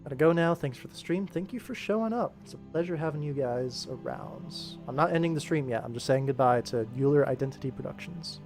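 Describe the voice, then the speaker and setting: A man's voice: fairly high pitch, a little fast, few pauses, a little mellow. A man hosting a livestream, chatting casually and explaining his work in an easygoing way. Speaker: livestream host and animator. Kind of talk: casual livestream chat, answering viewers while working. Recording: music playing behind the voice. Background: music.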